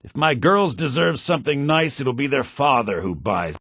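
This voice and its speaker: An actor playing a sly, cynical townsman in a fantasy video game, with a husky, gravelly voice and a wry, conniving, expressive delivery. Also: medium pitch, moderate pace, no room sound, clean studio sound. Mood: scornful, irritated. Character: sly, cynical adult male townsman and schemer. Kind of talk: scripted game dialogue.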